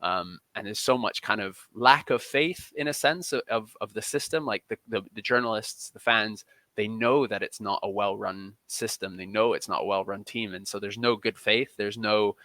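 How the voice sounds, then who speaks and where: A man with a fairly low but very bright, forceful voice talks as a guest on a podcast. He speaks conversationally and emphatically, often doubling back to restart a phrase. The sound is dry and close. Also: dry recording. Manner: emphatic, repeats and restarts phrases mid-thought. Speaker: interview guest, football journalist.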